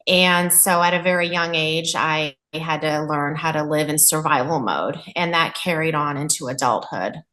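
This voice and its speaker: A woman from New England speaks as a nurse and podcast guest, with a loud, bright voice pitched slightly low. She talks in an earnest, open way and often pauses on fillers. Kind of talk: telling her life story.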